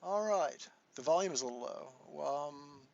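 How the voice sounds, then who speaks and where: A man teaching a live online chess lesson, soft-spoken and thinking aloud as he walks through moves, with frequent restarts. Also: on the high side, lively intonation, normal speed, gravelly, dull audio, heavy background noise. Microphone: desktop microphone.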